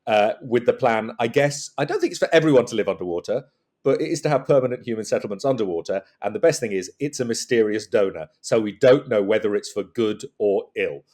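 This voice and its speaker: A man's voice: on the low side, expressive, quick pace, darkish tone. A man riffing casually as a comedy podcast guest, wry and a little hesitant.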